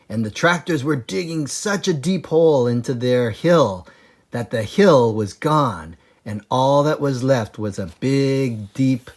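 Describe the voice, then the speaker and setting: A man reading a children's chapter book aloud for a school library, slow and expressive. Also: mid-range voice. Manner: slow, expressive, sing-song storytelling.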